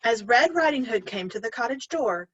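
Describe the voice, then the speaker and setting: Female storybook reader, teacherly, lively intonation, slightly dull lo-fi sound. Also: on the high side, normal speed, breathy edge. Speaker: teacher reading a story to pupils. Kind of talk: expressive storybook read-aloud.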